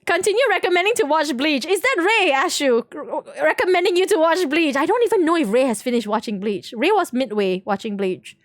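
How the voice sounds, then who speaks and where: A woman's voice: sits high, expressive, brisk, hardly any pauses, no echo, clean studio sound. A woman live streamer chatting casually and informally with her viewers while playing a game, in a giggly way. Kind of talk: casual chat with stream viewers during gameplay.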